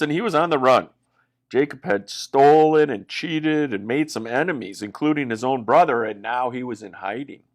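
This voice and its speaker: A man reading a children's Bible story as a pastor, in a dramatic, expressive way with exaggerated emphasis. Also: on the high side.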